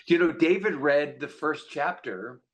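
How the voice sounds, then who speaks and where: A man's voice: on the high side, normal speed. A man speaking as a guest author in a casual panel conversation, earnest and opinionated as he explains his views.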